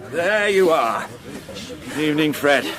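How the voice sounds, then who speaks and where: An actor playing a pompous, grumpy, reluctant police inspector with a testy, formal manner in a radio drama. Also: male voice, on the high side, expressive, slow pace, fairly bright tone. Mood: testy, condescending. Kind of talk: radio crime drama acting.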